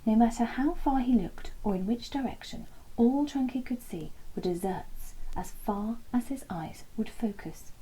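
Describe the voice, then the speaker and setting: A woman reading a children's bedtime story aloud in an expressive, deliberate storytelling manner, with a soft, breathy voice. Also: on the high side, low-quality recording. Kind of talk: scripted read-aloud story narration.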